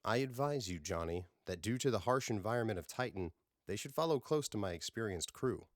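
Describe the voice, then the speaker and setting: A man voicing a seasoned starship captain with formal, measured, courteous phrasing in an audiobook. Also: on the low side, expressive, no echo, clean studio sound, close to an everyday voice. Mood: formal, commanding.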